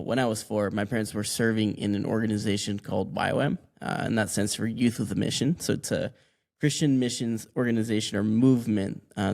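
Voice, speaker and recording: A man in his twenties who grew up in Mexico, telling his story as a podcast guest in a relaxed, rambling way with many restarts. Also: fairly low pitch, vocal fry. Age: 20s. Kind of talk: casual interview answers.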